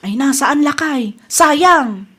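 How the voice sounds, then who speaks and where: An actress with an Ilocano accent playing a thrifty, trusting village wife in a radio comedy drama. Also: female voice, high voice, expressive, takes it slowly, fairly bright tone, heavily acted. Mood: dismayed.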